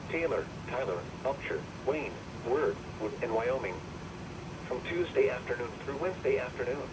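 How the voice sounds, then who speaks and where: A man's formal, impersonal, measured voice reading a scripted emergency weather bulletin, played through a weather radio. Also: medium pitch. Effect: weather radio playback. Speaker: weather radio alert announcer. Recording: noisy weather radio replayed in a room.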